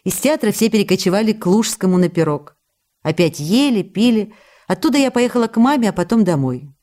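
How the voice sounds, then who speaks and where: A woman playing a loving, sociable actress writing to her husband, reading the letters for radio in an intimate, expressive, chatty way. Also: mid-range voice, a little fast, lightly acted. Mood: chatty, amused.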